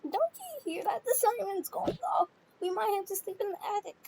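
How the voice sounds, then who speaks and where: A woman's voice: very high-pitched, sing-song, fairly quick, creaky, noisy background, full character voice. A woman voicing a whiny, melodramatic teenage girl in an amateur family role-play, wailing and drawing out her words. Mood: scared, whining.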